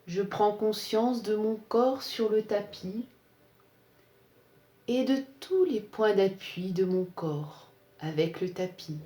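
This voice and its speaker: Slow, mellow woman guiding relaxation. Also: mid-range voice. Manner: calm, soothing, measured phrasing with pauses. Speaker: yoga nidra instructor. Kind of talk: guided relaxation meditation. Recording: roomy, echoing sound.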